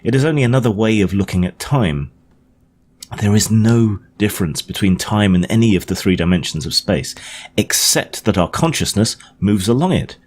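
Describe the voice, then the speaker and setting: A man voicing an earnest Victorian gentleman scientist in an audiobook, lecturing his guests in a precise, emphatic, didactic way. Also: on the low side, animated, moderate pace, pitched above the performer's own voice. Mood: animated lecturing. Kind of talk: audiobook character dialogue. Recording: clearly noisy home recording.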